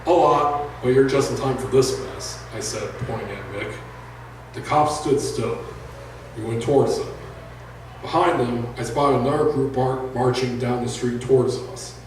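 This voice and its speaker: A man reads narrative prose to a live room as the author of the story. The recording is very reverberant and picked up from a distance, with faint noise behind him. His pitch sits in an average male range. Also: normal speed. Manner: raises voice for shouted dialogue. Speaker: author reading own book. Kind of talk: live fiction reading, first-person narration. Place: indoor live reading venue.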